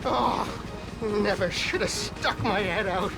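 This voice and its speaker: A man's voice: sits high, takes it slowly, creaky, over loud music, heavily acted, rough recording. A man playing a paranoid fugitive tech expert in a video game, frantic, stammering and repeating himself. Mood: panicked. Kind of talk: scripted video game cutscene acting.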